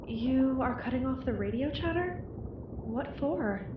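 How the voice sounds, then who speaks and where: An actress playing an uneasy deep-sea submersible crewmate in an analog horror drama, with a measured, hesitant delivery. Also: female voice, expressive. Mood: quiet wonder. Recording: dull, echoey, clear background noise.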